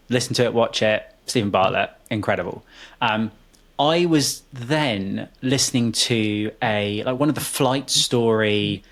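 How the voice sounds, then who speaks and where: A man's voice: mid-range voice, a bit bright. Chatty male podcast host. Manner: chatty, rambling with quick affirmations. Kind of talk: conversational podcast interview.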